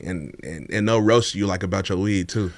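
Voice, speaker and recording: Laid-back Bay man, low voice. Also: normal speed, no echo. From Oakland, California. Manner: laid-back, laughs often, many "like" fillers. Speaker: podcast guest. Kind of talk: casual interview chat.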